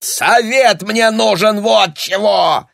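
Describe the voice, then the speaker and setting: A man's voice: mid-range voice, very animated, vocal fry, slightly rough, no echo, studio quality, voice pushed up, full character voice. A man voicing an agitated shopkeeper and hustler in an audiobook, blustering and colloquial in manner. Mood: furious. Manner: blustering, quick to shout, colloquial.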